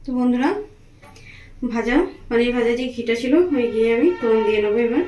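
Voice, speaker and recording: A woman's voice: on the high side, fairly even intonation, brisk, slight background noise. A woman hosting a home cooking channel, chatting to her viewers as friends while she cooks at the stove in her kitchen, talking them through each step. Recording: echoey tiled kitchen with loud frying. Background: sizzling and frying from the pan.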